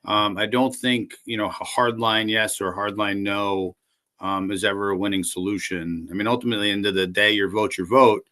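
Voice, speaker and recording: A man running for city council, answering interview questions calmly and conversationally, with lots of fillers. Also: lowish voice, a little fast. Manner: calm, conversational, many fillers.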